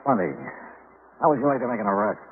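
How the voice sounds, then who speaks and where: An actor playing a sharp, confident insurance investigator in the brisk, commanding style of 1950s radio detective drama. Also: male voice, fairly low pitch, animated, close to an everyday voice. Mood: wry. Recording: noisy, tinny old radio recording.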